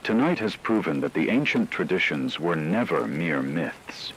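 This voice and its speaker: Deep-voiced solemn radio newsman, acted by a man. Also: expressive, moderate pace, fairly bright tone, a little hiss or hum, poor audio. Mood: grave, solemn. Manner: solemn, formal, ominous. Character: male radio newsman gravely reporting a supernatural horror. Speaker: radio news reporter. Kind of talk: scripted horror radio news narration.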